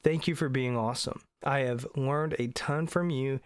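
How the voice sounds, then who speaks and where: A man's voice: medium pitch, fairly even intonation, moderate pace, clear, resonant tone, dry recording, studio quality. Congested man hosting a podcast. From Indiana. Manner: earnest, emphatic. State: congested from allergies. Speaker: podcast host. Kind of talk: solo podcast monologue.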